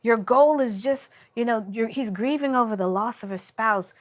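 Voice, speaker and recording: A woman's voice: fairly high pitch, normal speed. A middle-aged woman speaking in a casual, reflective way as co-host of a talk show.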